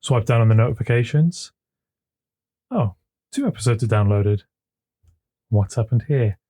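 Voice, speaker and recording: A man co-hosting a podcast in casual chat, with a smooth voice. He chuckles often and repeats words as he gets going, speaking into a desk microphone at his home computer. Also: medium pitch, expressive, normal speed, warm tone. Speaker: podcast co-host.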